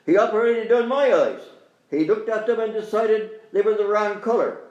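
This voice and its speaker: Guy doing a grumpy dopey police chief, high voice, muffled lo-fi sound in an echoey room. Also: moderate pace, a little mellow, close to an everyday voice. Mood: matter-of-fact. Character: bumbling police chief, man.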